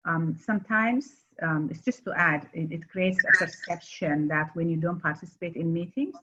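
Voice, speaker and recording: Woman host, measured advice-giver; lowish husky voice, muffled lo-fi recording. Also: fairly bright tone. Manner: measured, advising, some restarts. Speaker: interview host. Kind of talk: career advice interview.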